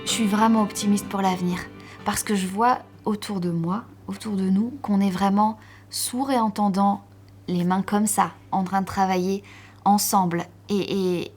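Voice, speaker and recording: A woman with a husky, slightly breathy voice speaks in an upbeat, hopeful way. Gentle music runs faintly behind her. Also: mid-range voice. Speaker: interviewee. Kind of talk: documentary interview voice-over.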